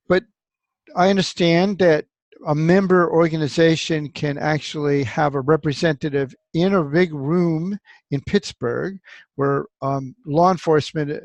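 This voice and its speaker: A man's voice: a little high, dry recording. A man interviewing a guest over a video call, asking conversational questions.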